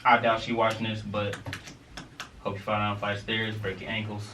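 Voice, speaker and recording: A young man co-hosting a podcast, telling a childhood story in an animated, slangy, laughing way. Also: fairly low pitch, brisk. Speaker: podcast co-host. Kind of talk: casual storytelling. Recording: roomy, distant mic with faint noise.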